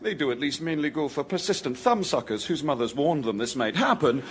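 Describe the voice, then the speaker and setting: An actor playing a jovial, dandyish young Scottish secretary with a slyly sinister side, theatrical and insistent, in a radio comedy sketch. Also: male voice, fairly high pitch, animated, brisk, breathy edge. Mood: scolding.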